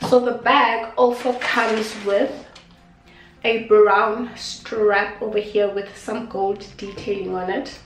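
A woman's voice: medium pitch, fairly even intonation, slow pace, far from the mic, a little hiss or hum. Congested young woman vlogging in a kitchen. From South Africa. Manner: chatty, affectionate, repeats herself. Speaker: beauty vlogger. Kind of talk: casual unboxing talk to camera. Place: small indoor kitchen. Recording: echoey room, voice off-mic.

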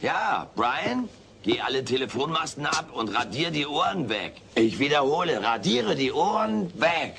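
A man's voice: on the high side, very animated, unhurried, creaky, a bit bright, heavily acted. A man voicing a cheeky, vain little furry alien with sarcastic, mock-pompous delivery in a German sitcom audio drama. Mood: cheeky, theatrical. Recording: faint noise, old cassette audio drama.

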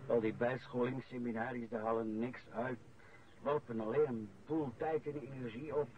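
A male actor plays a grumbling, easygoing Flemish director nearing retirement in a radio drama. His voice sits at a middle pitch. The sound is dull and slightly noisy. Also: fairly even intonation, moderate pace, natural voice. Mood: wry, grumbling.

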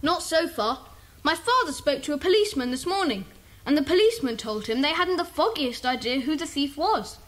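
A woman playing a sensible, bossy young boy who leads a children's club, brisk and commanding. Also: sits high, lively intonation. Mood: businesslike.

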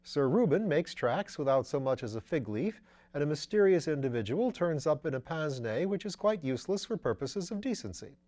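A breezy, witty gentleman sleuth from a mystery storybook, read out by a man. He muses aloud in upper-class slang, firing off questions and exclamations. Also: fairly high pitch, sing-song, fairly quick, voice pushed up. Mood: animated, puzzled. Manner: drawling clipped slang, exclamatory, musing.

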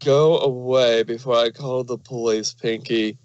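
A man plays a fussy, fashion-minded lady pony in a medium-pitched male register. The delivery is prim, haughty and indignant, as in a cartoon fan drama. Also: steady tone, a little slow, a little mellow, dry recording, muffled recording, close to an everyday voice. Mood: irritated. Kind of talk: fan fiction audio drama.

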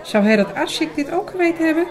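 A woman playing a sharp-minded, inquisitive companion in a radio drama, questioning and precise. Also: extremely high pitch, expressive, fast. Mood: sceptical, curious. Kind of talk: Dutch radio drama dialogue. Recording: busy chatter behind the voice. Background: busy restaurant crowd.

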